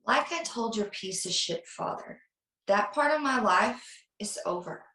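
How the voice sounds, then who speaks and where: A woman's voice: on the high side, animated, measured pace, pushed and bright. Woman acting a bitter mother, distant and roomy. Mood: cold rage. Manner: bitter, menacing. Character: cold, enraged mother disowning her son. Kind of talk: film script table read. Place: remote video call rehearsal. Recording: roomy, distant video call microphone.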